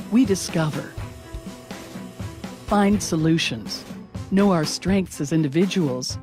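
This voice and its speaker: A woman reading polished, scripted narration for a promotional film. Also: fairly low pitch. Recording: music bed under the voice. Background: music.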